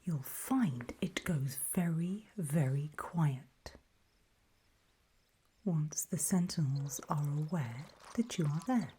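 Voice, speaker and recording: A woman narrating an ASMR roleplay in a breathy whisper, guiding the listener in hushed, deliberate short phrases with pauses between them. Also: deep voice, animated, slight background noise.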